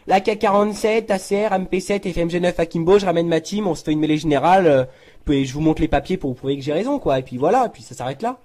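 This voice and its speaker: A man's voice: on the high side, quick pace, a little mellow. A young man improvising a prank phone call, feigning seriousness in a hesitant but insistent way. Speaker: prank caller.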